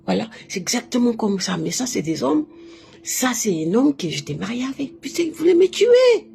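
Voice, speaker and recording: A woman's voice: fairly high pitch, very animated, moderate pace, creaky, warm tone, a little hiss or hum. A woman, not a native speaker of the language, talking live to her viewers in an emphatic, repetitive storytelling way. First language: not French. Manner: emphatic, keeps repeating a filler between phrases. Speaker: live stream host. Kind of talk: casual live monologue, personal storytelling.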